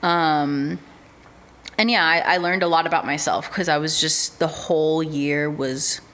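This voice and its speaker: A young woman with a notably low voice. She talks in a relaxed, chatty way, full of "um" and "like", like a guest on a casual podcast. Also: measured pace. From United States. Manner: relaxed, chatty, with many fillers like "um" and "like". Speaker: podcast guest, artist manager. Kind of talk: casual interview chat.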